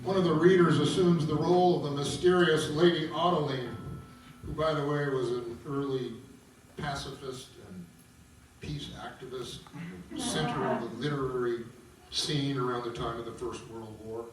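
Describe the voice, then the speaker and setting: A man's voice: mid-range voice, steady tone, normal speed, a little hiss or hum. A man narrating at a table read, reading stage directions aloud. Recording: echoey room, distant mic, background music.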